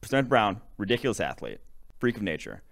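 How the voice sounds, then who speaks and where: A young man with a very low voice, co-hosting a casual football podcast. He rattles off long lists of prospects and rankings. He is from Wisconsin. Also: brisk. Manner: animated, rattles off player lists. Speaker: podcast co-host, draft analyst. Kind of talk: casual sports analysis chat.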